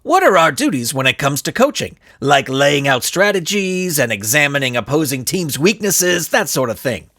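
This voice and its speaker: A man voicing a pompous, scheming supervillain genius with grandiose, measured delivery for a storybook. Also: a little high, very animated, pushed and bright, dry recording, clean studio sound, heavily acted. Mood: officious.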